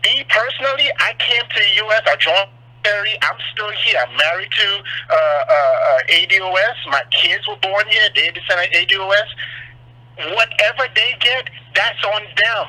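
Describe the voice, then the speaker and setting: A man's voice: high voice, moderate pace, bright, forceful tone. A Trinidadian man calling in to a talk show, emphatic and repeating himself. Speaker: caller. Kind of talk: call-in radio chat. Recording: tinny phone line.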